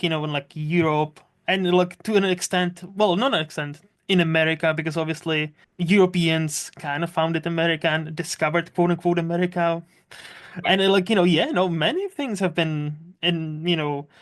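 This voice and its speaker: A podcast host, not originally from Scotland, chatting casually and often laughing, with many "like"s. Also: medium pitch. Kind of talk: casual conversation.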